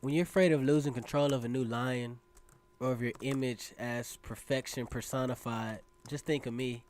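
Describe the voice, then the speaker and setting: A man's voice: mid-range voice, fairly even intonation, normal speed, darkish tone, lightly acted. A man playing an earnest, lovestruck seventeen-year-old boy reading his own letters aloud in a radio drama, with a poetic manner. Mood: tender.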